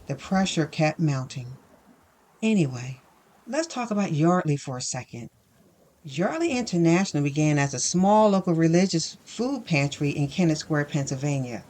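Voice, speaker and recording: A woman's voice: low voice, a little mellow, close to an everyday voice. Indignant, sardonic woman telling her story as acted narration. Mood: weary, resentful, then matter-of-fact, wry. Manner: emphatic, rhetorical, wry. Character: indignant, sardonic woman recounting workplace bullying. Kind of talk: first-person audio fiction monologue.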